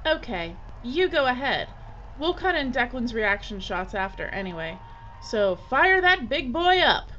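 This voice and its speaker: A woman's voice: a little high, very animated, unhurried, fairly bright tone. Woman acting a bossy director, muffled audio. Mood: wry, suspicious. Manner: bossy, wry. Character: brisk, sardonic, no-nonsense female film director. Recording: dull, slightly noisy recording.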